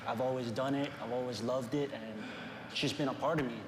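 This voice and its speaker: A man with a mid-pitched voice answers casually in an interview. The sound is very reverberant, like a hall, and loud noise runs behind him. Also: steady tone, fast. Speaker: interviewee, fire dancer. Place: large indoor room at a gym.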